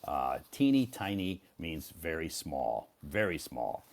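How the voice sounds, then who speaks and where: Deep-voiced guy from iowa chatting on a live stream for english learners, bouncy up and down pitch, slow and repetitive on purpose. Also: big pitch swings, vocal fry, darkish tone. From Iowa, United States.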